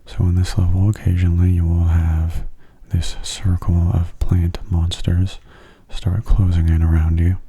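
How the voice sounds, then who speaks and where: A man murmurs gameplay commentary in a soft ASMR style. His voice is very mellow and husky, with an even, narrow melody. He stays hushed and often slips into a whisper. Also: sits low, unhurried, few pauses. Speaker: video game streamer.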